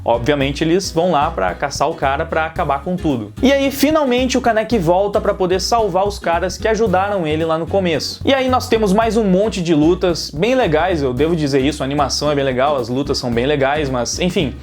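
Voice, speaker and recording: Higher-pitched Brazilian man reviewing casually. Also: animated, a little fast, few pauses. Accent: Brazilian Portuguese. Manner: informal, conversational, explanatory. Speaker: video reviewer and channel presenter. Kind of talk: casual anime review commentary. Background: background music.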